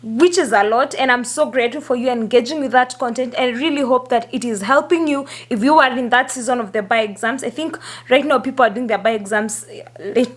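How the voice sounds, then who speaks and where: High-voiced hoarse woman vlogging. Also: fairly quick. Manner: chatty and upbeat, laughs often between phrases. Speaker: YouTuber. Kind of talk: casual vlog talk to camera.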